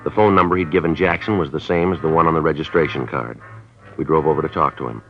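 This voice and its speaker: A man's voice: very deep voice, quick pace. A man playing a police sergeant in a 1950s radio drama, narrating and questioning in a clipped, matter-of-fact way, on a dull old radio recording with faint music. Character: terse male police sergeant narrating, middle-aged. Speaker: detective narrator.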